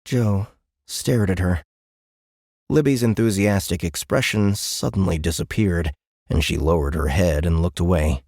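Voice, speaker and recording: A man with a deep voice narrates an audiobook. The delivery is scripted, dramatic storytelling, recorded in a very dry studio. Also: measured pace, darkish tone, dry recording.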